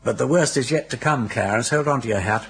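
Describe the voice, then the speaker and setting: An actor playing a witty, teasing older English aristocrat in a British radio comedy, chatty and urbane with a wry theatrical manner. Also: male voice, mid-range voice, brisk, a bit bright, faint music behind, natural voice. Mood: mock warning.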